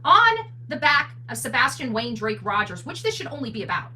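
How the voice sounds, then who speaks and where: A woman delivers combative livestream commentary in a bright, sharp, high voice. She is emphatic and blunt, throwing rhetorical questions at her audience with very animated intonation. The mic sounds distant, with a faint hum behind her. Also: a little fast. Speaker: livestream host, advocate commentator. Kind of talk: fired-up livestream commentary addressed to viewers.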